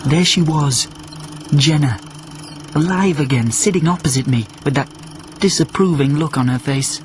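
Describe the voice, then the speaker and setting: An actor playing a lonely immortal prisoner on a space station, narrating reflectively in a measured radio drama monologue. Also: male voice, fairly high pitch, slight background noise, natural voice. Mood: wistful. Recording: loud music bed under the voice.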